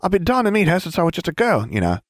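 A man doing a shrill giggling airhead witch. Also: huge pitch swings, racing, no echo, clean studio sound. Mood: gushing. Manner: punctuates speech with a shrill tee-hee. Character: giggly airheaded young blonde witch, flighty.

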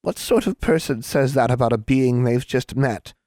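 Storybook hag voiced by a man, female, pitched well up, syrupy and officious with a threatening edge. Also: big pitch swings, fairly quick, a little mellow, no echo, studio quality. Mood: indignant. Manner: indulgent, officious, crooning, mock-sweet. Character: cheerful, menacing hag official, huge misshapen woman. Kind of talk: storybook character voice.

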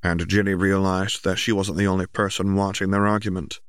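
Low-voiced male audiobook narrator. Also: level tone, a little fast, clean tone, no echo, clean studio sound. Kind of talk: scripted story narration.

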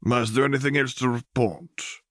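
A man voicing a formal, unapologetic counselor of a secretive order, soft-spoken and officious, in a story reading. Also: medium pitch, fairly even intonation, normal speed, dry recording, studio quality, pitched above the performer's own voice, close to an everyday voice. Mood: cool, official. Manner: stiff, officious.

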